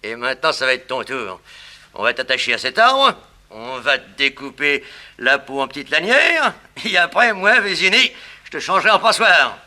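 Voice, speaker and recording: An actor playing a sly, vengeful gangster in a 1960s French radio crime serial, with an excitable, sneering, slangy delivery. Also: male voice, a little high, normal speed, full character voice. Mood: vengeful, menacing. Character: gloating, vengeful male gangster, sly villain back from the gallows.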